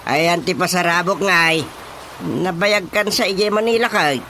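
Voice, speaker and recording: A man playing an excitable older father in a radio drama comedy, exclaiming and muttering, easily astonished and indignant. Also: high-pitched, moderate pace, slight background noise. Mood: excited, incredulous. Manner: exclamatory, full of muttered interjections.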